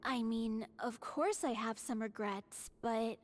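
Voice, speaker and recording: High-voiced, frail, unlucky young actress. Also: female voice, slow pace. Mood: wistful. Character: frail, unlucky young troupe actress, resigned yet feisty. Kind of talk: video game voice acting. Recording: loud music behind the voice.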